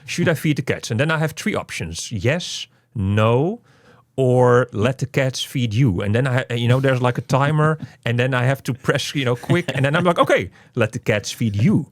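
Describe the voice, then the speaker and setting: A man co-hosting a casual game review, talking in an animated, rambling way with lots of "you know". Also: medium pitch, normal speed, hardly any pauses, dry recording, clean studio sound.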